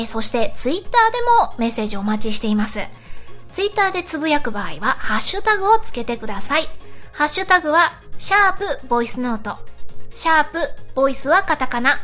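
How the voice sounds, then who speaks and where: High pitched woman from kansai doing radio host chat, polite n giggly, bit of music behind. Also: a bit bright. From Kansai, Japan. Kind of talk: casual radio chat and announcements.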